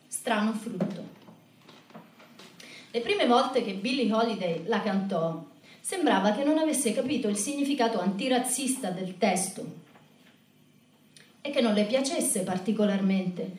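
A woman's voice: on the high side, a little mellow. A woman performing a spoken monologue and telling stories as part of a live show. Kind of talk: spoken monologue and storytelling to an audience. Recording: echoey room from far off, music behind.

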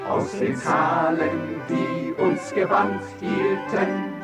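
Very slow solemn chanter. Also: male voice, a little high, steady tone. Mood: solemn, incantatory. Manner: very slow half-sung chanting. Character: solemn chanting voice of a poetic chorus. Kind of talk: musical radio play. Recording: roomy, distant, under loud music.

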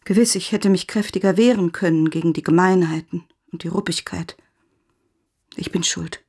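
A woman playing a lonely, wounded teacher in a radio play, self-pitying and formal, with a husky, breathy voice. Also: on the low side, moderate pace, mellow. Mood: bitter, sorrowful. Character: lonely, wounded woman teacher composing her farewell letter. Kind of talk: German radio play monologue.

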